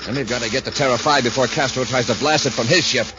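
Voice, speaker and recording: An actor playing a brave, decisive space patrol commander in a 1950s radio adventure serial, with a crisp, urgent, commanding manner. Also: male voice, medium pitch, fast talker, music in the background, lightly acted. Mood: firm, steady. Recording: noisy, muffled 1950s radio recording.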